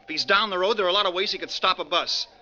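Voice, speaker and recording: A man's voice: sits high, racing, sharp tone. An American actor playing a stern male asylum guard in 1940s radio drama style, clipped and official. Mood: grim warning. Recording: muffled 1940s radio recording.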